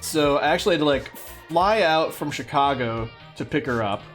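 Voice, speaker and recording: Expressive man chatting casually on a livestream. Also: on the high side, moderate pace, soft background music. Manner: affectionate, cooing to a pet. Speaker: livestream host. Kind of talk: casual livestream chat with viewers.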